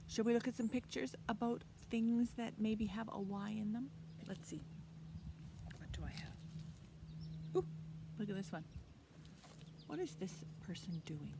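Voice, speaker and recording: A woman's voice: mid-range voice, animated, unhurried, long pauses. A woman in her fifties hosts an interactive preschool storytime, speaking in simple short phrases and asking children encouraging questions. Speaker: children's library storytime host. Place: outdoors in a leafy backyard. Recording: outdoor ambient noise.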